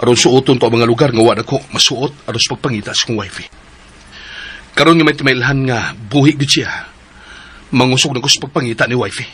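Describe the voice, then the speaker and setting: A man's voice: mid-range voice, a little fast, fairly bright tone, slightly rough. An actor playing a determined husband searching for his missing wife in a radio drama. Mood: earnest. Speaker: nephew.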